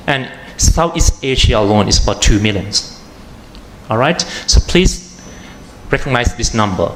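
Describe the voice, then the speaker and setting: A man with a Thai accent, an atmospheric chemist giving a conference talk, halting with many fillers and restarts. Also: mid-range voice, animated, a bit bright. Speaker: panel speaker, atmospheric chemist. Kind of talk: conference presentation. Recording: echoey room with faint noise.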